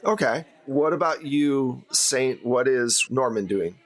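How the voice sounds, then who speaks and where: A man's voice: medium pitch, lively intonation, slow pace, rough recording. A man narrating an improvised tabletop game as game master in a conversational, explanatory way.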